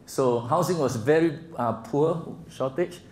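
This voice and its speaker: A Singaporean man in his sixties giving a slide presentation to a group, speaking in a halting, gently humorous way. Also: medium pitch. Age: 60s. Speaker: guest speaker. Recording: echoey room with faint background noise.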